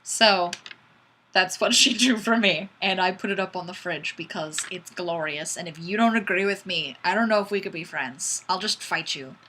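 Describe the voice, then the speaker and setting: Bright-voiced goofy woman chatting on stream. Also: lowish voice, moderate pace, slight background noise, rough recording. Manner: goofy, animated, exclamatory. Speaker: live stream host. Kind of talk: casual chat, reading viewer comments aloud.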